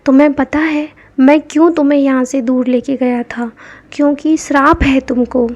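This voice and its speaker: A woman's voice: sits high, steady tone, moderate pace, darkish tone, no echo, lightly acted. A woman voicing an anxious, grieving father in her own voice, with an urgent, pleading manner. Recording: dull lo-fi with background music.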